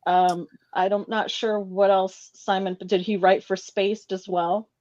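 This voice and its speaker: A woman's voice: mid-range voice, level tone, measured pace, a little mellow. Woman co-host, dull lo-fi sound. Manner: chatty, lots of fillers like "um" and "like". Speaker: podcast co-host. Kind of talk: casual film discussion.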